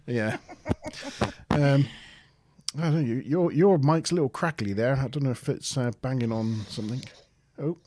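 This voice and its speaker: Deep-voiced man hosting a show. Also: moderate pace, long pauses, a little mellow, no echo. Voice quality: deep, boomy. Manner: jokey, laughs often, playful announcer voice for the intro. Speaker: podcast host. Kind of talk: casual livestream chat. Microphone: good desk mic.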